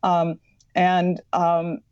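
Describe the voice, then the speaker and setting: Low-voiced woman with a slight Midwestern accent. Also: monotone, drawn-out words, a little mellow, no room sound, muffled recording. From Madison, Wisconsin. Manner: earnest, repeats words as she thinks. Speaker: author and librarian. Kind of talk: podcast interview guest.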